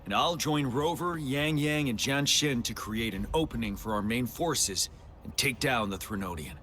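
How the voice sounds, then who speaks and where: A man playing a composed, resolute army general in a game cutscene, giving measured, authoritative military briefings. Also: mid-range voice, fairly bright tone, a little hiss or hum, close to an everyday voice. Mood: commanding. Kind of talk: scripted video game cutscene acting.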